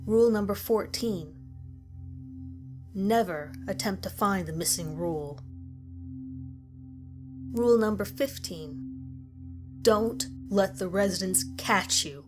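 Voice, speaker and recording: A woman voicing a grim survivor who gives stern, ominous warnings in a scripted horror story narration. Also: medium pitch. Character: grim woman survivor narrating deadly rules of a monster house. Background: music.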